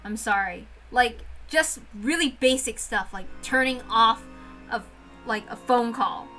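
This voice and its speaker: High bright woman just talking, casual and emphatic, music going behind her. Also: measured pace. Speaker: reader and host of a read-aloud series. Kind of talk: casual chat and dramatic reading of a visual novel.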